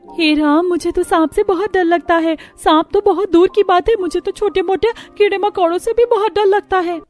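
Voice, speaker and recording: A woman voicing an excitable, curious young village housewife in a cartoon story, exclaiming dramatically. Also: very high voice, normal speed, soft background music, voice pushed up. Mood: frightened. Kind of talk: Hindi moral-story cartoon dubbing.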